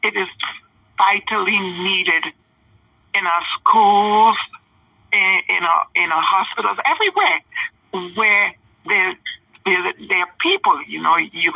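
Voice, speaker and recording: Older woman from Trinidad, hesitant with ums, bright tone, distant muffled phone line. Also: medium pitch, lively intonation, takes it slowly, creaky, pauses often, slightly rough, no echo. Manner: hesitant, many ums and restarts, soft laughs. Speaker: author, interview guest. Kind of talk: interview answers.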